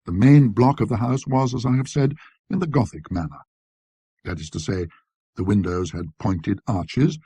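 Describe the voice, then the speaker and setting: A man with a husky, raspy voice narrating a classic literary ghost story in a precise, scholarly, measured manner. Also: on the low side, mellow, no echo.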